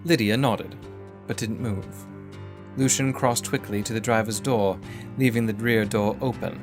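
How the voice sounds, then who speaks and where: A man's voice: fairly low pitch, steady tone, unhurried, over music. A man performing scripted first-person narration of a dramatic story.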